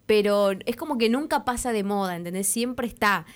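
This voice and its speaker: A woman with an Argentine accent hosting a morning radio show, chatting in a lively, welcoming way with quick interjections. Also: medium pitch. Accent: Argentine Spanish. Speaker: radio host.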